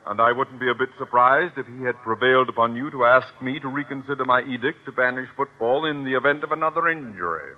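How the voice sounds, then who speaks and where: An actor playing an irascible, pompous middle-aged American school principal in an old radio comedy, with formal, exasperated diction. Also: male voice, medium pitch, fast talker, hardly any pauses, lightly acted. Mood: indignant. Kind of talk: 1940s-50s American radio comedy acting. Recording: muffled old-time radio recording.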